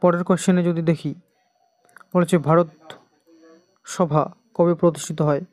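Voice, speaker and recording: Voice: medium pitch, steady tone, measured pace, a little mellow, no echo. An exam coaching teacher with an Indian Bengali accent, methodically reading out quiz questions and answers and repeating them.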